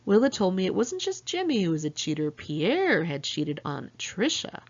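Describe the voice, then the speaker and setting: Low-pitched woman reading memoir, dull recording. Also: sing-song, normal speed. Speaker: author reading own memoir. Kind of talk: first-person memoir audiobook reading.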